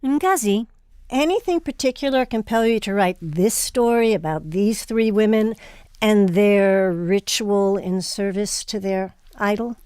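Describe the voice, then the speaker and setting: A woman hosting an interview, putting prepared questions in a measured, polished way. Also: medium pitch, a little mellow, smooth, no room sound, studio quality. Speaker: interview host.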